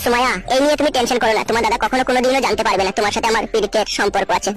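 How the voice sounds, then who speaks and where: A woman voicing a lovesick married village man in a comic cartoon, a melodramatic flatterer who gushes and pleads. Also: a little high, rapid-fire, over music, natural voice. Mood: gushing, pleading. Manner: gushing, melodramatic.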